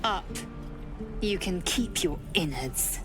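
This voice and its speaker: A harsh warrior woman from an alien race, giving clipped, cold orders. Her voice sits low for a woman. Game music runs underneath. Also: lively intonation, slow pace. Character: stern githyanki warrior woman, blunt and cold. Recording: game audio with music behind.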